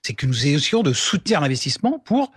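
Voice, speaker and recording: A male politician answering in a broadcast interview in a defensive, halting manner. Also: fairly high pitch, big pitch swings, breathy edge. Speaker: member of parliament.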